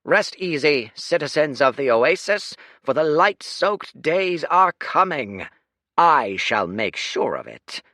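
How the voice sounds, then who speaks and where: A scheming old lord makes a grand public speech in a raised, lively voice, as a man puts him on. His tone rises and falls widely, and the sound is dry and close. This is storybook narration. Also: fairly high pitch, takes it slowly, no room sound, voice pushed up, exaggerated voice. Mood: grandiose. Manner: declamatory, oratorical.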